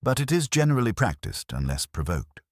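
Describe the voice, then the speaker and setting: A man voicing a stern, unsentimental genius detective in an audiobook, speaking in a formal, measured way. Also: mid-range voice, sing-song, no echo, clean studio sound, voice pushed up. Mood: cool, firm.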